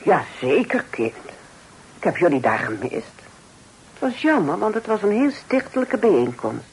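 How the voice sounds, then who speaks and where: An actress playing a pious, churchgoing old aunt in a Dutch period radio play, cordial yet sermonizing, in formal old-fashioned speech. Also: female voice, fairly low pitch, very animated, normal speed, a little mellow, slight background noise, rough recording. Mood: kindly, chatty.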